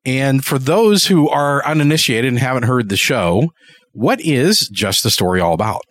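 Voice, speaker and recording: A man hosting a podcast interview in a casual, slightly halting, appreciative manner. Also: medium pitch, animated, fairly bright tone, no echo.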